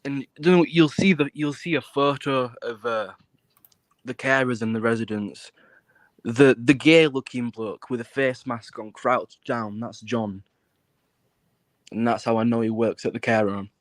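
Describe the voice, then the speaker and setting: British male, mid-pitched, blunt and sweary, insistent casual chat, dry recording. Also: steady tone, a little slow, dry recording.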